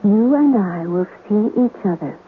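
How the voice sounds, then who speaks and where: An actress playing an anguished young wife of a sea captain, in a melodramatic, pleading old-time radio drama style. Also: female voice, mid-range voice, expressive, measured pace, narrow, tinny audio, slight background noise, close to an everyday voice. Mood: grave, foreboding. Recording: band-limited old radio recording, music behind.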